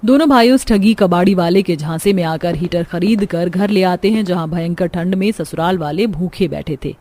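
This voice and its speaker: A woman's voice: lowish voice, moderate pace, warm tone. A woman giving scripted narration as the storyteller of a family tale.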